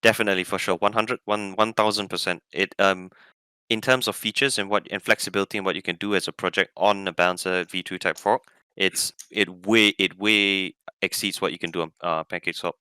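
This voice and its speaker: A man who is a guest from a crypto team, talking fast and emphatically in a casual online chat. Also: deep voice, fairly even intonation, pushed and bright, clear, resonant tone, dry recording.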